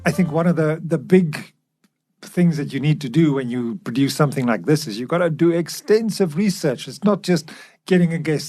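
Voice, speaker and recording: A man's voice: fairly high pitch, darkish tone. A man co-hosting a podcast in a casual discussion style. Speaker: podcast co-host.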